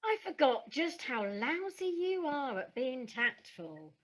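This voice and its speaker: An anxious young-sounding actress character, voiced by a woman. Her pitch is high. She speaks earnestly and with self-doubt, in the natural manner of an audio play. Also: sing-song, slow pace, exaggerated voice. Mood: wry, reflective. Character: anxious, earnest stage actress who uses a wheelchair.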